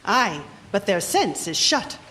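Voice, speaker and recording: A woman playing a stage actress who declaims formally as an earnest, watchful Shakespearean gentlewoman in an audio drama. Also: medium pitch, big pitch swings, slow, a little hiss or hum, heavily acted.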